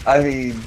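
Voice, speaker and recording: A man telling an animated, casual story in slangy, sweary language, quoting people as he goes while he games. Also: on the high side, vocal fry, a little hiss or hum, rough recording. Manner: slangy, profane, quotes people in dialogue. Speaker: streamer telling a personal story while gaming. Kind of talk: casual chat, animated anecdote. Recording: music behind the voice. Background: music, video game play.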